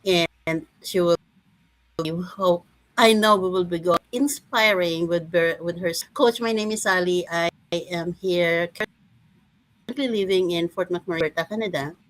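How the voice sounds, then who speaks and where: A woman's voice: on the low side, a little slow, no room sound, low-quality recording. A woman in her fifties speaking hesitantly, restarting sentences on a live video call. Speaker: panelist.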